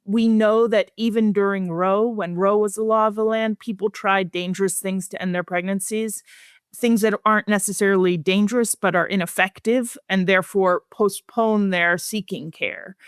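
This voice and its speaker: A woman speaking as an expert guest in an interview, explaining research findings in a measured, serious, explanatory way. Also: mid-range voice, steady tone, clear, resonant tone, no echo, studio quality.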